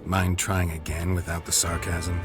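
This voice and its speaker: Actor as stoic monster hunter: extremely deep, deadpan, terse game dialogue under loud music. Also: male voice, normal speed, close to an everyday voice. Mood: dry, calm. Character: stoic, dry-witted male monster hunter. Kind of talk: understated video game acting.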